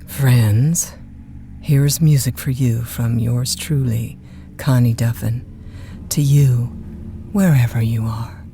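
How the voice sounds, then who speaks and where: A woman's voice: a bass voice, fairly even intonation, takes it slowly, mellow, faint music behind, heavily acted. A woman playing a polite, flustered overnight radio host in an audio drama, folksy and courteous in manner. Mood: smooth, on-air. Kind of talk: naturalistic radio drama acting.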